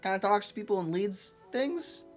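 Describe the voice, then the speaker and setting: A let's play co-host giving casual gameplay banter in a wry, halting, conversational way. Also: medium pitch, animated. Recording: game music behind.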